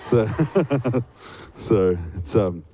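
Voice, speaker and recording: A man's voice: fairly low pitch, expressive, very slow, slight background noise. A man with an Australian accent, a native English speaker, preaching informally as a guest in short phrases at a church service. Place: church service over a microphone. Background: soft worship music.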